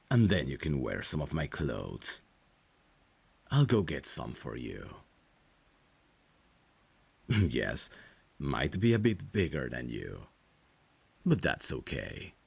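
A man's voice: very deep voice, huge pitch swings, slow pace, gravelly, pauses often, husky. A man acting a romantic ASMR roleplay as a caring, protective friend, speaking tenderly and intimately. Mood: soothing, caring. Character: caring, protective male friend secretly in love. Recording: rain ambience behind the voice. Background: rain.

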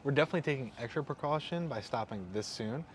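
A man's voice: mid-range voice, quick pace, over loud music. A man chatting casually as a vlog companion out on a boat, easygoing and joking as he points out sights. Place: outdoors on a boat on a lake. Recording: outdoor recording with motor hum.

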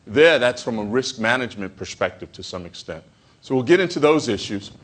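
Guy moderating a conference panel, middle pitch, chatty and fluent, hotel meeting room. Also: lively intonation, brisk, a bit bright. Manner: fluent, conversational, frames topics with examples. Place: hotel conference room, standing before a seated audience.

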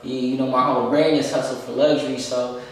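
A man's voice: mid-range voice, steady tone, quick pace. A young man talking casually as an interview guest, laid-back and repeating "you know what I'm saying" as he speaks. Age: young adult. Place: room with a leather couch, indoors. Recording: echoey room from a distance.

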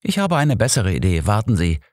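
A man's voice: fairly low pitch, wildly swooping pitch, fairly quick, a little mellow, no echo, clean studio sound. A man voicing an exasperated, sarcastic older doctor in an audiobook, grumbling drily. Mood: wry.

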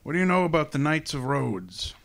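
Male actor as genteel older villain, creaky voice, slightly raised pitch, grandiloquent, scheming, radio drama. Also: big pitch swings, fairly quick, a bit bright, heavily acted. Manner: courtly, grandiloquent, relishing. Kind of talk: hardboiled detective radio drama acting.

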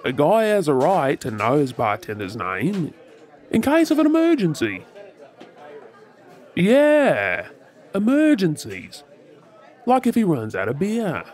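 A man's voice: a little high, wildly swooping pitch, darkish tone, exaggerated voice. Man acting a swooping, tipsy Australian flirt. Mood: pestering, playful. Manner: teasing, drawling, halting with hesitations. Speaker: audio roleplay performer. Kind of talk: ASMR audio roleplay, flirty monologue to the listener. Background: faint bar crowd chatter.

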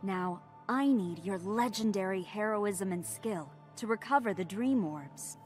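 Woman as a solemn mystical guardian, medium pitch. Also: natural voice. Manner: formal, measured. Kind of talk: animated video game cutscene acting. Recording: music under the voice.

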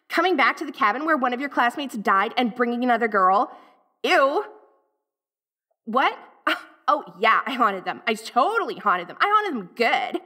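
A woman's voice: high-pitched, pushed and bright, exaggerated voice. A woman playing a bratty teenage ghost from the eighties in an audio roleplay, with an American Valley girl accent and a sassy, dramatic, easily flustered manner. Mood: smug, catty. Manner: sassy, dramatic, flustered, peppered with 80s slang. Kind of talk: audio roleplay, one-sided dialogue to the listener.

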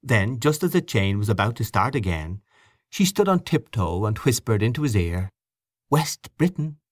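A male audiobook narrator reading literary fiction in a measured, expressive way. His voice sits on the low side yet moves through a lively range of pitch. The recording is close and dry, with almost no room sound. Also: dry recording.

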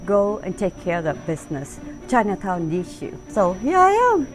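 A woman's voice: mid-range voice, big pitch swings, moderate pace, over music. A middle-aged woman who owns a restaurant, telling her story with heartfelt enthusiasm in her dining room. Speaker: restaurant owner. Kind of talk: interview testimonial.

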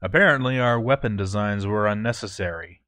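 A proud dwarf overseer, acted out by a man, narrates his fortress journal in a fairly low voice. He is formal and measured, with a self-important, dutiful air, as in a scripted fantasy story. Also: sharp tone. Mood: grave. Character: proud, stern male dwarf fort overseer, grandly dutiful.

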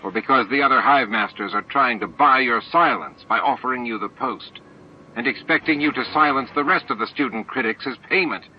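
A man's voice: medium pitch, brisk, a little hiss or hum, voice pushed up, natural voice. A man voicing an angry, contemptuous insect-like alien student in a dramatized audiobook, snarling and sneering. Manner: snorting, sulky, snarling, contemptuous. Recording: dull lo-fi audiobook tape with music.

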